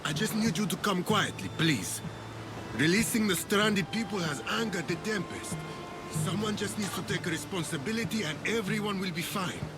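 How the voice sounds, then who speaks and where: Jittery soldier in a game, high voice, trying to keep things calm, music. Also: male voice, moderate pace. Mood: nervous, pleading. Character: anxious, placating male soldier doing his duty. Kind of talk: video game cutscene acting.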